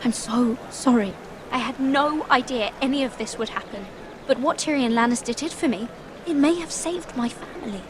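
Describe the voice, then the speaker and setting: Casting: actress as highborn young handmaiden for fantasy game, fairly high voice, earnest, guarded, quietly resolute. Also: female voice, normal speed, background noise, lightly acted. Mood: grateful, apologetic. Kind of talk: dramatic game cutscene acting.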